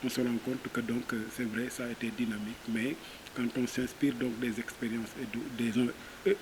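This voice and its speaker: A man giving an unscripted recap of an event, halting and hesitant, with many fillers. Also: mid-range voice, level tone. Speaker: workshop participant. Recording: clearly audible background hiss.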